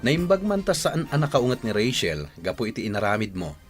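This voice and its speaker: Man acting animated, admonishing Filipino buddy. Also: mid-range voice, brisk, faint music behind, natural voice. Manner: advising, admonishing. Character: sensible young male friend, frankly reproachful. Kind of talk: radio drama acting.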